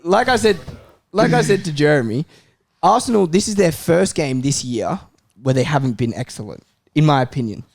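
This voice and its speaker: A man's voice: a little high, lively intonation, moderate pace, creaky, few pauses, no echo. A man arguing as a fan in a casual football debate, emphatic and disputing, often repeating his point.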